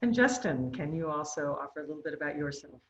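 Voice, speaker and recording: A woman's voice: sits low, expressive, fast, highs cut off, slight background noise. A woman moderating a panel, thanking each speaker graciously and handing off to the next. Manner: gracious, brief hand-offs.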